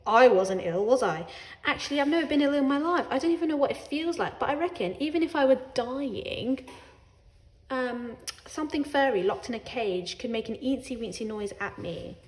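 A woman voicing an indignant, self-excusing pet cat in an animated, wry reading of a children's storybook. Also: high voice, normal speed, pitched above the performer's own voice. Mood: defensive, scornful. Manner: wry, defensive, disdainful.

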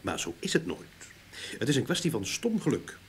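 A man's voice: medium pitch, huge pitch swings, fairly quick. Self-assured, courteous male private detective, radio drama, persistent manner, noisy old recording. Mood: musing, confident. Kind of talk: Dutch-language radio play acting.

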